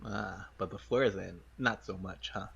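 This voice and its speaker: A man playing a blunt, cocky tough-guy adventurer in a tabletop game, terse and streetwise, with a gravelly voice. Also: sits low, normal speed, a bit bright, lo-fi sound, heavily acted. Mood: wry. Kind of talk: improvised tabletop roleplay.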